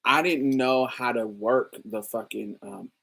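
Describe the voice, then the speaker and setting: A woman hosting a casual chat, telling stories in a lively way with frequent laughter and free swearing. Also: a bass voice, unhurried, vocal fry, slightly rough, rough recording. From New Orleans, USA. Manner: animated storytelling, frequent laughter, swears freely. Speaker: host. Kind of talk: casual livestream chat with viewers.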